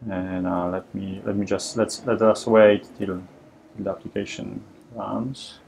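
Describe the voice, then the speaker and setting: A man with a Polish accent, presenting a live coding workshop, talking haltingly with many fillers and restarts. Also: fairly low pitch, level tone, gravelly, a little mellow, breathy edge. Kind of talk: live coding demo lecture.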